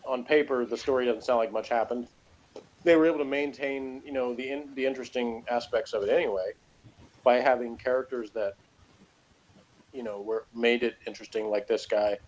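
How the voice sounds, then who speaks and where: A man's voice: medium pitch, fairly even intonation, fairly quick, darkish tone, slight background noise, rough recording. A man giving a casual, conversational TV review, explaining and arguing his points at length. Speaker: podcast reviewer.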